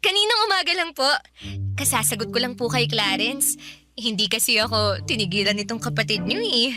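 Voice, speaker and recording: A woman's voice: a little high, expressive, fairly quick, lightly acted. An actress with a Filipino accent playing a teasing but polite young girlfriend in a radio drama. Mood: coy, bashful. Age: young adult. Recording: music under the dialogue.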